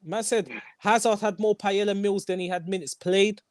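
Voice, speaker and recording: A young man hosting a casual football chat, animated and slangy, repeating his lines. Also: high voice, normal speed, no echo, studio quality. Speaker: host.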